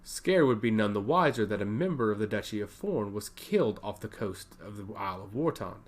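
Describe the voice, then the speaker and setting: A man's voice: on the low side, animated, brisk. A man narrating a scripted fantasy story with a dramatic delivery.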